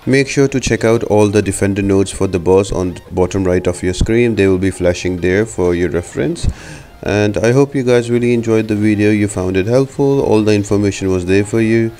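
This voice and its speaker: A man's voice: lowish voice, brisk, mellow. A man narrating a video game guide as the host, explaining things directly to his viewers.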